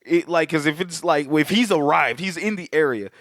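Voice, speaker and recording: A young man talks loosely on a podcast, circling back and restarting his thoughts. His voice sits a touch high, and the sound is dry and close with no room. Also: normal speed, a bit bright, no room sound. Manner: rambling, many restarts and fillers. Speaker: podcast co-host. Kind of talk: casual chat.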